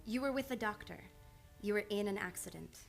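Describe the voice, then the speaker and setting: A woman's voice: medium pitch, fairly quick, gravelly, music in the background, close to an everyday voice. A woman playing a composed, earnest psychoanalyst in an old-time radio play, probing and insistent with a patient she cares for. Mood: pressing, insistent. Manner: insistent, probing, clinical yet affectionate. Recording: live radio play with background noise.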